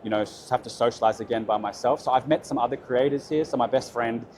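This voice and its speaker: Young Australian man, expat guest, brisk and chatty in a relaxed interview. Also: mid-range voice, crowd noise. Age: young adult. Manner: upbeat, quick affirmations, frequent fillers. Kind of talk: casual interview chat.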